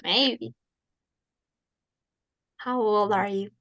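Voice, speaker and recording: Slow, giggly teenage woman. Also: mid-range voice, fairly even intonation, gravelly, fairly bright tone, no echo. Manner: chatty, giggly, teasing. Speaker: university student, interviewee. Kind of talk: casual conversation practice.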